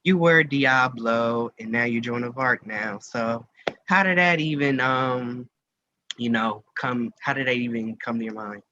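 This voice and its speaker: A man's voice: medium pitch, unhurried, bright, forceful tone. Muffled casual male radio host. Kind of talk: casual interview chat. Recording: muffled lo-fi audio with background noise.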